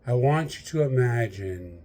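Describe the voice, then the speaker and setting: A man leading a guided meditation, speaking to the listener in measured short phrases with long pauses between them. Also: mid-range voice, expressive, mellow.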